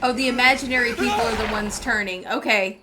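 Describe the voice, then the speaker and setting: A woman's voice: on the high side, fast, noisy background. A woman giving playful, chatty, casual live commentary as a game streamer. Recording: loud game music behind voice. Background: game music and sound effects.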